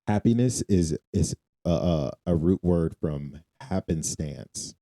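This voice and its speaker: Deep voiced guy on a faith podcast, super dark mellow tone, backs up what's said. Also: animated, slow pace. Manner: affirming, interjects short agreements and sayings. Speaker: podcast co-host. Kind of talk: casual faith-based podcast chat.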